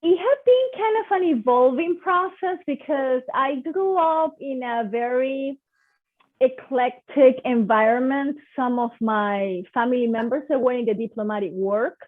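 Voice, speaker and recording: A woman with a Venezuelan Spanish accent talks with eager, expressive enthusiasm about her passion. Her voice sits slightly high. The audio is tinny and narrow, like a phone call. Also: measured pace. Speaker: guest performer. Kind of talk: casual online presentation.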